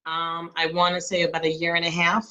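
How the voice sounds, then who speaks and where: A woman answers questions on a video call in a casual, hesitant way, pausing often. Her voice sounds bright and a little tense, and the audio comes through dull and lo-fi. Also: fairly low pitch, monotone. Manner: hesitant, lots of ums. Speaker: team member guest.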